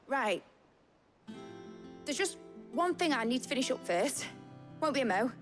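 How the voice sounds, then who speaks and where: A woman's voice: high-pitched, very animated, a little slow, soft background music. An actress playing an earnest, hopeful young female engineer with a British accent, speaking informally and from the heart in a video game drama. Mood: resolved, fond.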